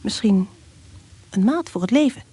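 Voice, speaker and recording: A woman's voice: mid-range voice, animated, normal speed, dark tone, a little hiss or hum, lightly acted. An actress playing a wry female storyteller, narrating a radio drama in a theatrical manner. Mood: wry, confiding.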